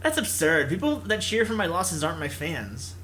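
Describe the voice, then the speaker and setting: A let's-play reader voicing a brash, blunt young female pro gamer who is quick to defend herself. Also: medium pitch, big pitch swings, fairly bright tone, a little hiss or hum. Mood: indignant. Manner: blunt, indignant.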